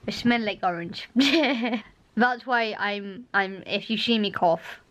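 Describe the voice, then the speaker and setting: A young Scottish woman with a strained, hoarse voice, chatting in a rambling home vlog and laughing often, filmed at arm's length in her living room. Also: medium pitch, slow. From Scotland. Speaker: vlogger. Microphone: camera at arm's length.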